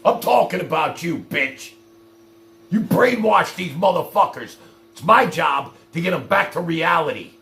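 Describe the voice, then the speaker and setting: A man's voice: fairly high pitch, lively intonation, unhurried, creaky, pushed and bright, soft background music. A man hosting a livestream, ranting at his viewers in a profane, confrontational, exasperated way with blunt rhetorical questions. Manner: addresses viewers directly with repeated rhetorical questions. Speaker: livestream host.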